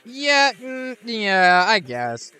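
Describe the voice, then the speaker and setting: A man's voice: high voice, sing-song, very slow, bright, forceful tone, clear, resonant tone. A man hosting a gaming channel, rambling through casual gameplay commentary with lots of restarts and fillers. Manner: rambling, many restarts and fillers, laid-back.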